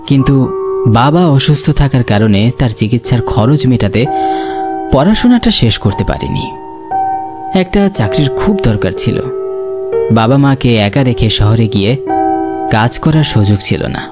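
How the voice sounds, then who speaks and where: A man voicing a dutiful young village night watchman in a first-person horror story, expressive and suspenseful. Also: medium pitch, close to an everyday voice. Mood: earnest.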